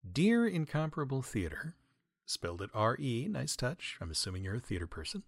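Male comedy podcast host, mid-pitched, deadpan with mock-grand, wordy flourishes and animated intonation, dry close-mic sound. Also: brisk, vocal fry, breathy edge, no echo. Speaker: podcast host, writer and audio editor. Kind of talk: wry comedic monologue, answering listener mail.

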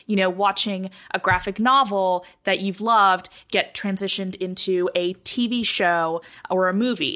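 A woman's voice: fairly low pitch, a bit bright. A woman chatting casually as a podcast panelist, explaining her thoughts in a conversational way.

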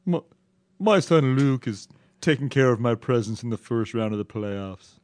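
A man joining a casual podcast chat with deadpan lines. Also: mid-range voice, moderate pace. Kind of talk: casual banter.

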